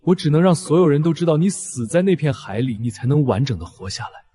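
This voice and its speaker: Animated drama role: man as devoted young lover, quiet, restrained, sorrowful and pleading. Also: fairly high pitch, fast talker, natural voice. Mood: sorrowful resolve. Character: self-sacrificing, tender, sorrowful young male lover. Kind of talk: animated melodrama acting.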